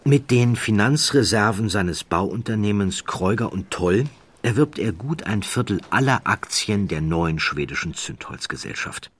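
Male documentary narrator, very low pitch, husky texture, deliberate delivery, dramatic emphasis. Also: expressive.

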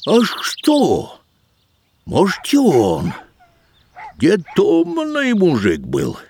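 A folksy village father from an audio drama. He speaks high with huge, exclamatory swings of pitch at an unhurried pace. He is proud and scornful, and birds chirp behind him. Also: wildly swooping pitch, a little mellow, breathy edge, exaggerated voice. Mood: surprised, proud. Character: rustic old-time village craftsman, proud gruff father. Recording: faint noise, birdsong behind. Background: birdsong, outdoor village sounds.

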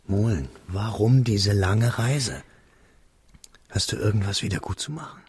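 A man narrating a literary radio drama in a measured, reflective way, as a young man recalling a friend, in a husky, creaky voice. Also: on the low side, lively intonation, darkish tone, no echo, heavily acted. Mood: searching. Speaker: first-person narrator.

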